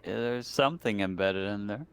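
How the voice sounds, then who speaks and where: A man's voice: on the low side, normal speed, clean tone. A man playing a tabletop game over a voice call, chatting casually as he describes his moves. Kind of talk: casual chat. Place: online voice call.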